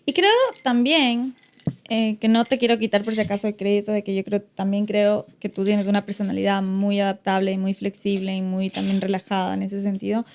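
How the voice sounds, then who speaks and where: A woman's voice: medium pitch, little pitch movement, fairly quick, slight background noise. A woman from Ecuador with an Ecuadorian accent, talking reflectively as a podcast guest with many fillers and restarts. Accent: Ecuadorian Spanish. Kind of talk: casual podcast conversation.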